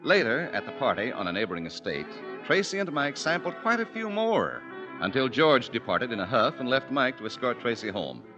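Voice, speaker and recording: Man, radio announcer, formal, measured, dull old broadcast with music. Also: medium pitch, very animated.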